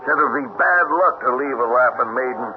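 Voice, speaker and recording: An actor playing an obsessed, evasive middle-aged skipper in a 1970s radio drama, urgent and sometimes halting. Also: male voice, medium pitch, music in the background, lightly acted. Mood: hearty. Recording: dull, noisy 1970s radio broadcast.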